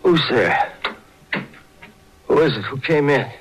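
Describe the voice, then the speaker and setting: An actor playing a cowardly, desperate murderer in a 1940s radio drama, halting and panicky with agonized cries, on a noisy old recording. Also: male voice, medium pitch, lively intonation. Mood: panicked, agonized. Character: cowardly, desperate dying murderer, a hunted criminal.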